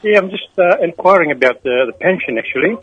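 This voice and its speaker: An older man from Fiji calling in to a radio show, speaking in a hesitant, halting way. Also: sits high, animated, husky, dull audio. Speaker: caller. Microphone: telephone.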